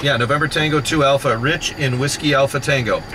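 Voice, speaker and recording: A man runs a ham radio contest from inside a moving vehicle, speaking into a mobile radio mic at an ordinary mid-range pitch. He fires off call signs in the phonetic alphabet and confirms each contact in a brisk, repetitive rhythm, dropping in frequent "uh"s. Heavy noise from the road and the radio sits under everything. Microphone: mobile radio microphone. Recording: loud background noise from a mobile radio station.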